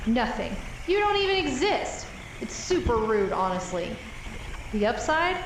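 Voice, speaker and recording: An actress playing a jaded, sardonic store employee making mock announcements over an intercom in an apocalypse audio drama. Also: female voice, fairly high pitch, sing-song, measured pace. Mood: bitter, sardonic. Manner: sarcastic mock-announcer delivery. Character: jaded young female superstore employee, lone apocalypse survivor, sardonic. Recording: echoing intercom, distant, faint noise.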